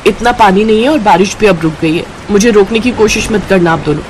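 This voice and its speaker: A woman's voice: mid-range voice, fast talker, darkish tone, a little hiss or hum, close to an everyday voice, poor audio. A woman voicing a stubborn, impatient young mother in a cartoon story, speaking insistently. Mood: defiant. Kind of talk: Hindi cartoon story voice acting.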